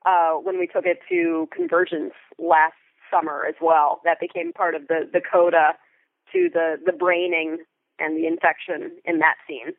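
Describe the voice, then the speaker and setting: Woman, casual storytelling, descriptive, vocal fry, narrow telephone sound. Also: deep voice, animated, normal speed, dry recording. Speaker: interview guest, Foley artist. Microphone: phone or remote call. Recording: tinny, narrow phone-like line.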